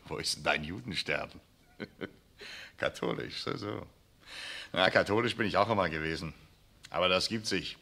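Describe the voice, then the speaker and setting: An actor playing a coarse, bullying SS sergeant who barks orders and sneers in a 1960s radio drama. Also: male voice, deep voice, a little slow, vocal fry, pauses often, bright, forceful tone, full character voice. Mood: mocking. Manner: barking orders, sneering laughs. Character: brutal mocking male SS sergeant, coarse bully. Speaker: arresting officer.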